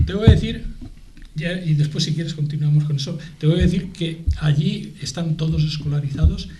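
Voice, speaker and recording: A middle-aged man with an accent from Spain, explaining things in a TV debate in a halting way, repeating and rephrasing as he goes. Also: a little high, noisy background. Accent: Spanish from Spain. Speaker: guest, aid association spokesman. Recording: echoey room.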